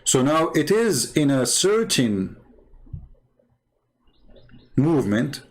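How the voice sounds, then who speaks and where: A man speaking English as a second language with a non-native accent, giving improvised commentary as a host, haltingly and repeating key words for emphasis. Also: a little high, big pitch swings, low-quality recording. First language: not English. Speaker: host and religious debater. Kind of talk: improvised commentary over visuals.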